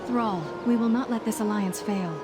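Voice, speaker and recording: A woman's voice: medium pitch, normal speed, lightly acted. A woman playing a noble, earnest and firm human leader in dramatic fantasy game dialogue, with music underneath. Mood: resolute.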